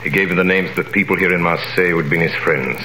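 A solemn, mysterious stranger in an old radio play, his voice very low yet bright and forceful. He speaks in a measured, grave way. The sound is an echoey vintage broadcast with faint hiss. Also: fairly even intonation. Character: grave mysterious stranger, the returned Dantes in disguise. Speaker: narrator and lead actor. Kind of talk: 1930s radio drama acting.

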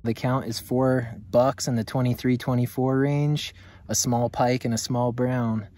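A man's voice: medium pitch, flat delivery, a little slow, mellow, soft background music. A man hosting a fishing video, giving upbeat play-by-play from a boat on a lake, recorded on an action camera. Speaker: fishing channel host. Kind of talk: casual fishing commentary.

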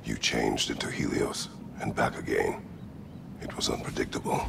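An actor playing a stern, brooding warrior god in a video game, terse and solemn, with a gravelly voice. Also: male voice, steady tone, moderate pace, exaggerated voice. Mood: grim resolve. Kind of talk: video game dramatic acting. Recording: game audio with rumbling noise.

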